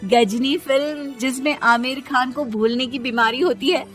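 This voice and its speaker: A woman's voice: high-pitched, unhurried, a bit bright, soft background music. A woman narrating a scripted comic story as a storyteller.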